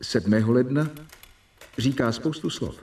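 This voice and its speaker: An actor in a radio play, playing a young doctor who narrates his medical journal in measured, reporting tones. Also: medium pitch, warm tone, far from the mic, close to an everyday voice. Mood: earnest. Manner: measured, reporting dates and symptoms.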